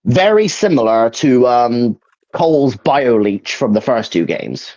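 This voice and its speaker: Young man, very animated, lo-fi sound. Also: mid-range voice, measured pace. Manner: hesitant, searching for words, repeats himself. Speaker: video game ranking host. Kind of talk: casual opinion chat about video games. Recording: dull, lo-fi mic.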